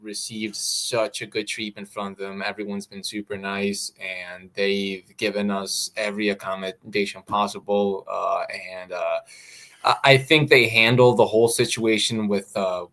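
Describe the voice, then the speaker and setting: Halting young man with American accent. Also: fairly low pitch, steady tone, a bit bright. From Mexico. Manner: halting, repeats words often. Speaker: fighter guest. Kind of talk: casual interview.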